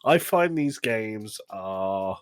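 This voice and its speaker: Male British co-host, joking and deadpan in wording, animated intonation, casual delivery. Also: fairly low pitch, very slow, clear, resonant tone, no echo, studio quality. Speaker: podcast co-host. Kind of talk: casual chat.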